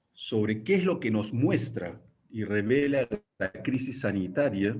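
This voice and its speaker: A man acting as host, formally introducing and questioning a guest speaker in halting, hesitant phrases. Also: medium pitch, darkish tone, husky.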